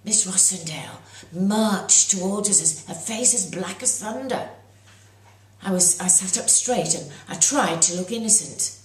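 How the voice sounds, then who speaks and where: A woman reading her own children's story aloud as its author, in an expressive narrating style. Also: mid-range voice, measured pace. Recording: roomy echo.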